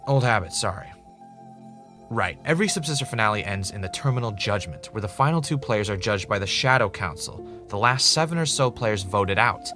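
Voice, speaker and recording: A man's voice: on the low side, expressive, fairly quick, bright, forceful tone, soft background music. A man playing an anxious, obsessive fan in a fiction podcast, telling the story in an earnest, rambling way. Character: obsessive, anxious male fan investigating a cancelled show. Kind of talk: fiction podcast narration, conversational.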